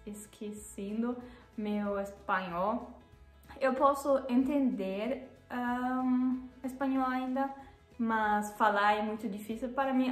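A young woman from Germany speaking with a German accent, who often pauses and corrects herself and laughs at her own mistakes. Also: fairly high pitch, reverberant. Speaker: vlogger. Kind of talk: casual vlog talk to camera. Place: living room, seated on a sofa.

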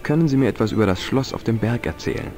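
An actor playing a polite, inquisitive young tourist hero in an adventure game, asking his questions clearly and courteously. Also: mid-range voice, animated, fast talker, a little mellow, natural voice. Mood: friendly, curious. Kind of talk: dubbed adventure game dialogue. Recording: music behind the voice. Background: game music.

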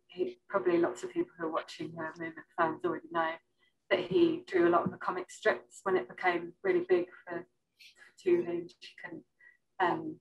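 A woman's voice: on the low side, poor audio. Hesitant woman curator on a video panel. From an island. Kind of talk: informal panel talk about an exhibition. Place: indoor room on a video call. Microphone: video call.

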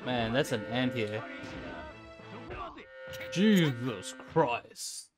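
A man gives casual gameplay commentary as a streamer. His voice is middling in pitch and his remarks are offhand, with game music clearly heard behind him. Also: lively intonation, drawn-out words.

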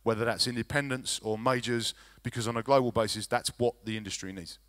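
A man in his forties, a record label executive, answering at a conference panel on a handheld mic, measured with many fillers and restarts. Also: mid-range voice, steady tone, fairly bright tone. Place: large conference hall stage.

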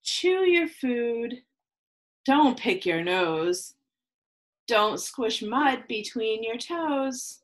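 A woman in her thirties reading a children's picture book aloud in slow, sing-song rhymes, recorded on a webcam at home. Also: a little high, lively intonation. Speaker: children's read-aloud host. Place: home room with plants, indoors.

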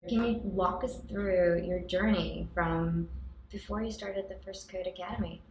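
A woman interviewer introducing her guest and asking a question in a measured, clear way. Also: on the low side, steady tone, darkish tone, a little hiss or hum. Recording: roomy echo, distant mic.

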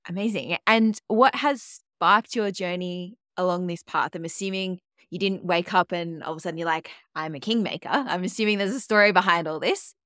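Host: woman, lowish voice, dry close sound, upbeat interviewer. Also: moderate pace, few pauses, a bit bright, dry recording. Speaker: podcast host. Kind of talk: casual interview chat.

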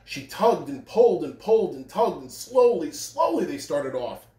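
A man's voice: fairly high pitch, very animated, normal speed, mellow. A man in his thirties, reading and talking expressively to children. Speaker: school read-aloud host. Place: living room, indoors. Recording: roomy living-room echo.

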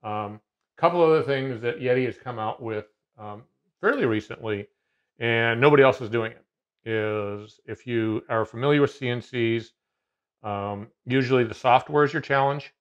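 A man in his fifties talks through a machine in his workshop, voice sitting in an ordinary middle pitch. He wanders through his points with plenty of fillers and restarts. It sounds like a casual chat at a bench in a big garage shop. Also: normal speed, clean tone, muffled recording. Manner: rambling, many fillers and restarts. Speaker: CNC machine dealer. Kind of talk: casual explanation in a workshop conversation. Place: large home garage workshop.

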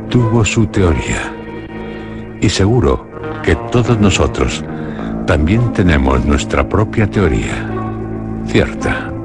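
A man narrating a scripted radio horror drama in a solemn, ominous, measured manner. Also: low-pitched.

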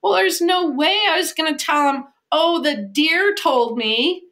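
Woman, fifties, american, raised voice, lively storytelling, acts out quotes, video call from home office. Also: high voice, measured pace. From United States. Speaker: animal communicator, podcast guest. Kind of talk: storytelling in an interview. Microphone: video call, webcam.

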